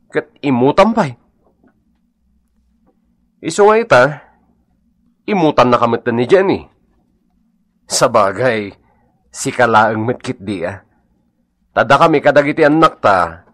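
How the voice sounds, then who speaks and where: A man's voice: mid-range voice, a bit bright, no room sound. A man playing a blunt, scolding husband who lectures reproachfully in an Ilocano radio drama. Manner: preachy, reproachful.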